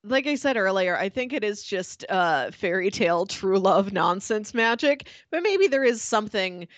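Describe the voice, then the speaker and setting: A woman's voice: medium pitch, moderate pace, no echo. A woman co-hosting a podcast, talking casually and with animation about a TV show. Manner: animated, laughs while talking. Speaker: podcast co-host.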